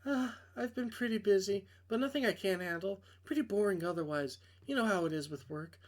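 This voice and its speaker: A man's voice: high voice, lively intonation, a little fast, pitched above the performer's own voice. A man voicing characters from a visual novel, a woman and her friends, in a casual, understated manner. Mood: casual, flat.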